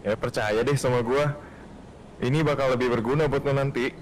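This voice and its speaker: A man playing a calm, all-knowing dragon god in a Minecraft roleplay, explaining things bluntly in a measured way. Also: mid-range voice, a little hiss or hum, lightly acted, poor audio. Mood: guiding. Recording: music behind the voice.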